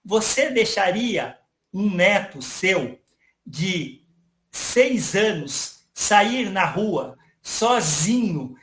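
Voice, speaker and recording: A man voicing a friendly virtual young male AI character, explaining calmly to an audience. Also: high-pitched, very slow, reverberant, full character voice. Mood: earnest. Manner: calm explanatory, addresses audience as "pessoal". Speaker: interviewee.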